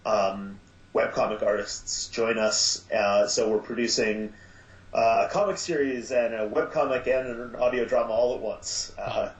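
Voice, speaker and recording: A man being interviewed as producer and director of an audio drama, talking in a halting way with many fillers and restarts. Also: mid-range voice. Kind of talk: casual podcast interview. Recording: echoey, dull lo-fi sound.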